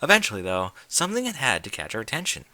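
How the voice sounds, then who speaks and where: A man giving an expressive scripted narration of a story, as in an audiobook. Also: mid-range voice, fast, dry recording.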